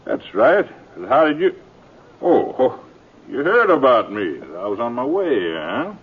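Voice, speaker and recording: An actor playing a terse, self-assured Western drifter in a radio drama, laconic and blunt. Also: male voice, medium pitch, big pitch swings, measured pace, husky. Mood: unhurried. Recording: muffled, noisy old radio recording.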